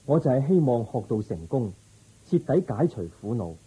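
A man playing a calm, resolute young prince seeking enlightenment in a Cantonese radio drama, speaking in an earnest, measured way. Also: medium pitch, breathy edge, low-quality recording. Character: resolute young prince turned spiritual seeker, calm, compassionate.

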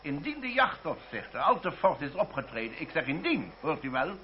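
Pompous, blustering royal official. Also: male voice, fairly high pitch, very animated, normal speed. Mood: pompous, indignant. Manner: pompous, indignant, condescending. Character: haughty, blustering male royal prosecutor, easily offended. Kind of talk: radio play acting. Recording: dull old radio recording, faint noise.

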